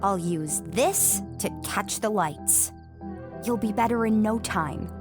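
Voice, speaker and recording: A woman's voice: medium pitch, slow, music in the background, natural voice. A woman playing a determined, feisty young girl with a blunt, youthful manner in a family audio drama.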